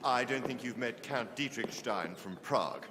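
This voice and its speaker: Actor as a genial aristocrat in a reverberant hall. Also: male voice, medium pitch, normal speed, background noise, close to an everyday voice. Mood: welcoming. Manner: genteel, affable. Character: genial aristocratic male patron, easygoing and deferring. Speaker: actor in a period drama. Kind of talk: naturalistic television period drama acting. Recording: reverberant hall with people around.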